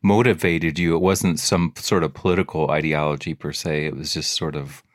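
A man with a low voice asks an interview guest long, meandering questions and softens them with hedges. His voice sounds close and dry, with no room echo. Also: little pitch movement, normal speed. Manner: rambling, hedging questions.

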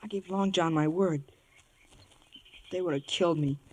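A man's voice: fairly high pitch, normal speed, darkish tone, natural voice. A male actor playing a loyal, earnest boy adventurer with pleading delivery in a 1950s radio drama.

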